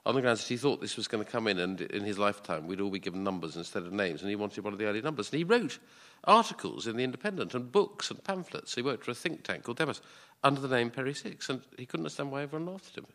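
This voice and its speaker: A man, a comedy panelist telling stories with animated, mock-indignant banter. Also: medium pitch, racing.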